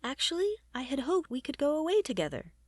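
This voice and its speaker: Woman reading as courtly, lively gentleman adventurer. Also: sits high, quick pace, no room sound, clean studio sound, pitched above the performer's own voice. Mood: courtly, hopeful.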